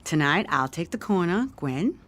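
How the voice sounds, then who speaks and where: A woman's voice: fairly low pitch, lively intonation, normal speed, a bit bright. A woman playing a fussing American mother in a radio play, folksy, bossy with her child and eager to please. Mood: coaxing, subdued. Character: fussing, grateful mother, deferential younger sister, scolding her daughter. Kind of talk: naturalistic radio play acting.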